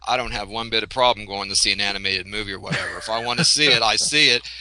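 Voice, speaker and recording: A man's voice: on the low side, fast, sharp tone, low-quality recording. A man of forty-nine talking bluntly and defiantly in casual chat, swearing and laughing as he goes. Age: 40s.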